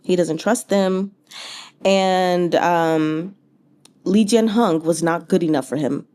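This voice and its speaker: A woman's voice: fairly low pitch, takes it slowly, darkish tone. A woman giving casual, rambling book commentary as a channel host, thinking aloud in an informal, chatty way with slangy asides.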